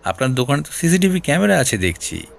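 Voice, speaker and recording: An actor playing a shrewd, persistent senior detective in an audio drama, questioning in a deliberate, probing way. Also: male voice, fairly high pitch, big pitch swings, creaky. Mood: pressing. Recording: music bed behind voice.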